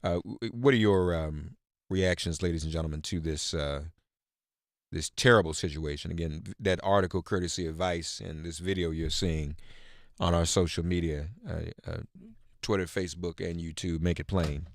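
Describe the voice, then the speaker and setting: Low-pitched male talk radio host. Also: steady tone, moderate pace, no room sound, clean studio sound. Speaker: radio call-in show host. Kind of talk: live political talk radio, news reading and chat with callers.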